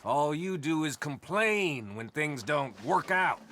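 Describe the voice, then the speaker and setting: An actor playing a quarrelsome, goading Western outlaw with an American accent in a video game. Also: male voice, fairly high pitch, animated, takes it slowly, bright, forceful tone, slight background noise, full character voice. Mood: irritated, accusing. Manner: mocking, goading. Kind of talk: dramatic video game cutscene acting.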